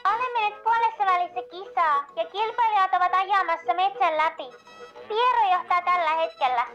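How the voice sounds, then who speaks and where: A woman playing a young, earnest and excited race commentator in an amateur cartoon dub. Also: very high voice, muffled recording, faint music behind. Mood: excited reporting. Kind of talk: race commentary in an animated fandub.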